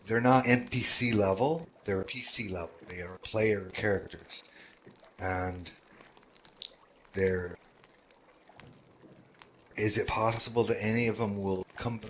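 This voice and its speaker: Male roleplay host, deep voice, unhurried and halting, lots of fillers. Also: a bit bright, a little hiss or hum. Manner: halting, with many fillers and restarts. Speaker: roleplay game world organizer. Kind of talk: casual talk to a roleplay group.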